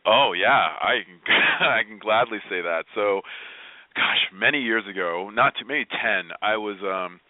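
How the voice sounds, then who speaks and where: A man speaking as an interview guest, telling personal stories in an expressive, emphatic and informal way. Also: on the low side, a little slow, no room sound. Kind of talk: conversational personal storytelling. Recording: phone-like narrow-band online call.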